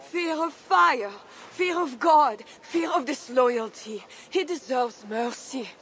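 Pious noblewoman, highish voice, calm and earnest, faint background hiss. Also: slow pace, heavily acted. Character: devout, composed noblewoman, young adult, gentle. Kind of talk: video game cutscene acting.

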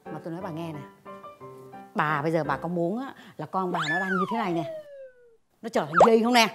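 An actress playing a blunt, gossipy older neighbour in a comedic drama, needling and insistent, with a Southern Vietnamese accent. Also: female voice, fairly low pitch, wildly swooping pitch, brisk, music in the background. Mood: conspiratorial, coaxing.

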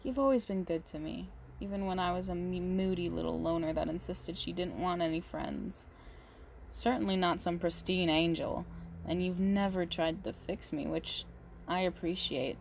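A woman playing a big, muscular goth girlfriend, possessive and unhinged yet doting, in an intimate, halting ASMR roleplay monologue. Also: fairly low pitch, vocal fry, a little hiss or hum. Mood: tender, anxious. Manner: slow, halting, doting, menacing under sweetness.

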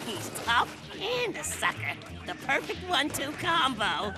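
A man's voice: extremely high pitch, wildly swooping pitch, unhurried, full character voice. A man voicing a sly, lazy, bossy marmoset in a cartoon, with a whiny, exaggerated delivery. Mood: scheming, smug. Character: sly, lazy, bossy male marmoset who fakes helplessness. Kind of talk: animated cartoon acting. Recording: loud cartoon music behind.

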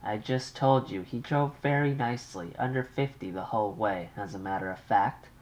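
A man reading aloud, voicing a calm young wife who answers her mother's questions with weary patience. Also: fairly low pitch, moderate pace, lightly acted. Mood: patient, weary. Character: cool, unflappable young wife on the phone.

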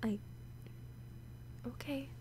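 A woman playing a nervous, awkward, obsessively lovesick young woman in an intimate audio roleplay, halting and often slipping into whispers. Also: warm tone, a little hiss or hum. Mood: confused, hesitant. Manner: halting, hesitant, breaking into whispers.

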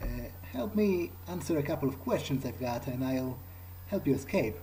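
A man with a dark, relaxed voice plays his game character aloud. His delivery is measured and hesitant, as if improvising each reply. There is faint noise behind him. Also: a little high, low-quality recording. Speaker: gamer role-playing his character.

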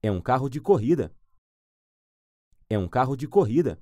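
A man who teaches phrases, saying each one three times, slowly and clearly. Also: medium pitch, big pitch swings, mellow, no room sound, highs cut off. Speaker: language teacher. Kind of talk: slow phrase repetition for learners.

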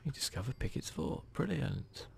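A man with a low voice and plenty of vocal fry gives hushed ASMR commentary as he plays a video game. His delivery is understated and dry, drifting into whispers, with the odd mild swear. Faint noise sits behind him. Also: normal speed. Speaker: gaming video host.

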